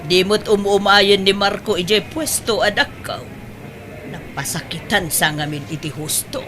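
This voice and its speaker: A woman playing an excitable, exclamatory middle-aged mother and market vendor in a comedy radio-style drama. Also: mid-range voice, unhurried, over music, close to an everyday voice. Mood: shocked, nosy.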